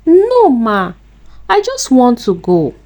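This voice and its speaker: A woman's voice: on the high side, wildly swooping pitch, slow pace, a little mellow, heavily acted. A woman voicing a guilty, frightened young house girl in a folktale, pleading and dramatic. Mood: timid.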